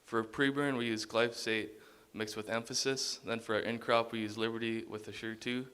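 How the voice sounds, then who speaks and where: A young man from Saskatchewan, presenting a class talk in a steady, list-like way. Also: medium pitch, normal speed, clear, resonant tone. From Saskatchewan, Canada. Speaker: college student presenter.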